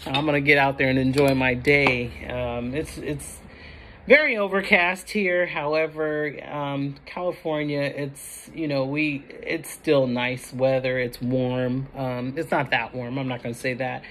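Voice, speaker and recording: A woman's voice: rumbling low, fairly even intonation, measured pace, fairly bright tone, a little hiss or hum. A middle-aged or older American woman chatting casually to the camera in a rambling, self-correcting way. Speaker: vlogger showing a grocery haul. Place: indoor room at home.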